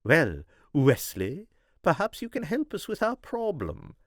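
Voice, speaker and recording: Haughty flirtatious countess, played by a man, posh British accent, wildly swooping pitch, imperious drawl, dry close sound, storybook character. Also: huge pitch swings, unhurried, dry recording. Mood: flirtatious, grand. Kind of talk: audiobook character voice.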